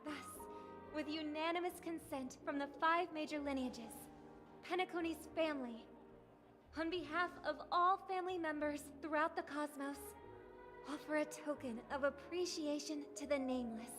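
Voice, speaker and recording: Gracious young orator, a woman voicing her, formal and poised, light high voice over game music. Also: normal speed, close to an everyday voice. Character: gracious young woman giving a ceremonial speech. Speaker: festival speaker.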